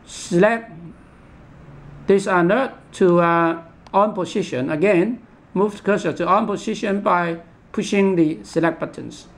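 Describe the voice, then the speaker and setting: Man, high voice, gravelly fry, halting, repetitive, unscripted tutorial instruction. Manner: halting, repetitive, instructional, with imperfect English grammar. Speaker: product demonstrator. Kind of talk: unscripted step-by-step product tutorial.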